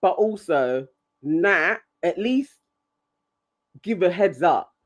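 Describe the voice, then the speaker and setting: A man's voice: high-pitched, expressive, slow pace, muffled recording. A man co-hosting a casual live stream chat, laughing often and talking with animation. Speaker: co-host.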